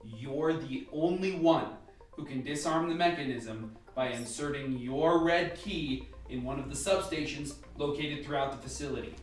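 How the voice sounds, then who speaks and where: A man acting in an amateur radio play as a calm, instructive scientist briefing a lab team with measured delivery. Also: fairly high pitch, natural voice. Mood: grave, explanatory. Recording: echoey room, distant mic, faint music.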